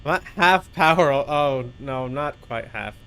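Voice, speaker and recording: A man's voice: medium pitch, lively intonation, a little slow, fairly bright tone, a little hiss or hum. A man streaming a game with casual, playful live commentary, chatting to viewers and to the game's characters.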